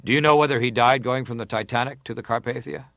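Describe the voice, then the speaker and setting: A man acting as a senator at an inquiry, putting questions in a formal, deliberate and persistent manner. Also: mid-range voice, fairly even intonation, gravelly, a bit bright, dry recording, clean studio sound. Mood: grave, probing. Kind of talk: dramatized reading of hearing testimony.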